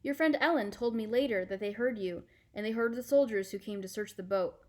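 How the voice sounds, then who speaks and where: A woman playing a calm, reassuring fisherman uncle in a storybook, with a measured, explanatory manner. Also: fairly high pitch, natural voice. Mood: matter-of-fact.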